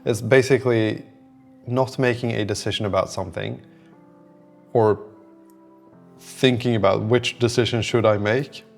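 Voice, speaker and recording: A man's voice: on the low side, gravelly. A man talking casually in a vlog, thinking aloud in a rambling, reflective way and often trailing off mid-thought. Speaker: app developer documenting his work on a vlog.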